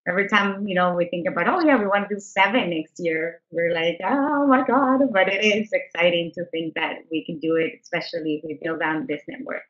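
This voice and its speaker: Bright-voiced woman on a lo-fi call. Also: lowish voice, brisk, hardly any pauses. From Canada. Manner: chatty, many fillers and restarts. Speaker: co-founder presenting on a webinar. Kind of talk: casual self-introduction. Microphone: video call. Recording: lo-fi online call audio.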